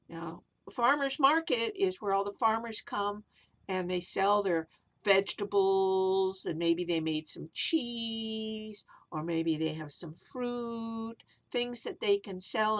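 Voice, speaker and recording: Bright-voiced woman telling a children's story. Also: medium pitch. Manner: simple, repetitive phrasing with asides to young listeners. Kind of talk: animated children's story narration.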